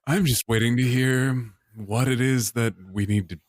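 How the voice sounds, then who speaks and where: A man's voice: medium pitch, fairly even intonation, normal speed, no room sound, studio quality. A man playing his character in a tabletop game, haughty, fussy and self-important. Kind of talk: in-character roleplay.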